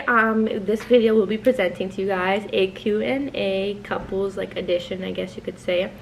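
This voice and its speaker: A woman's voice: mid-range voice, a bit bright, a little hiss or hum, poor audio. A woman in her twenties from New York hosting a casual couples Q&A, chatty and playful, swearing freely. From New York, raised in New Jersey. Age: 20s. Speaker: channel host.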